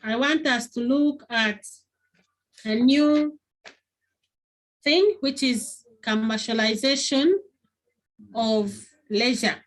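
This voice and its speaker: A woman's voice: fairly high pitch, takes it slowly, pauses often, low-quality recording. A woman teacher giving an online class lesson over a video call, repeating key phrases and questioning her pupils often. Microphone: computer on a video call.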